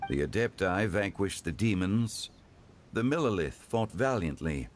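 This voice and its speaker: A man voicing a composed, learned gentleman in a video game, formal and measured with grave dignity. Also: deep voice, lively intonation. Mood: grave, narrating.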